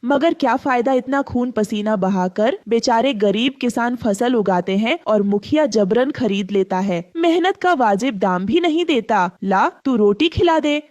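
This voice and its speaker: A weary, kindly pigeon auntie from a cartoon, voiced by a woman, plaintive and resigned. Her voice sits a little high, with a slightly muffled, dry sound. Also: lively intonation, a little slow, hardly any pauses, darkish tone, no echo. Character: poor, weary bedridden aunt pigeon, kindly. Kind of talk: Hindi cartoon story acting.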